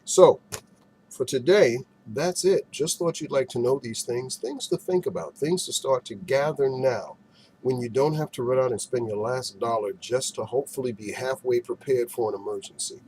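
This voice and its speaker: A man with a mid-pitched voice presents practical advice as a show host. He sounds folksy and instructive, stressing key points and posing questions to the viewer. The amateur recording carries some background noise. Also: moderate pace, darkish tone, low-quality recording. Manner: folksy, instructive, emphatic phrasing with rhetorical questions. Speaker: financial advisor hosting an advice segment. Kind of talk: direct-to-camera advice and product show-and-tell.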